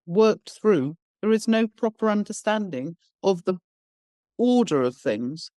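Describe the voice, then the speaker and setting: Emphatic woman with a mellow voice. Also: mid-range voice, no room sound, studio quality. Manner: emphatic, blunt, explains with everyday examples. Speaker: education founder, guest. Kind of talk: podcast interview.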